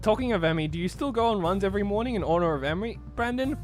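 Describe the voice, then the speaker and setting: A man with a slightly high, animated voice reads game narration and chats casually between passages. His delivery moves around a lot in pitch, with music playing behind him. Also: rapid-fire. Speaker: streamer reading the game's narration aloud. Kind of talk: casual game stream, narration reading mixed with banter.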